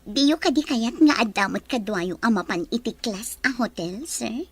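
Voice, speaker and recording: A woman's voice: fairly high pitch, animated, moderate pace, slightly rough. A woman acting a coy, lovestruck, wistful office secretary in an Ilocano audio drama. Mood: eager, coy.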